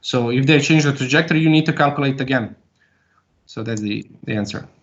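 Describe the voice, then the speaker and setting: A man answering academic questions as a doctoral candidate, explaining haltingly with long hesitations. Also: medium pitch. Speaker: PhD candidate defending a thesis. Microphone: video call. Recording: muffled online video call audio.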